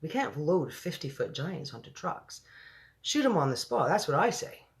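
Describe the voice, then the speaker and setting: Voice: mid-range voice, big pitch swings, moderate pace, voice pushed up, lightly acted. A storybook reader voicing a hot-tempered, blunt male army general with a blustering, impatient manner. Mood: gruff objection.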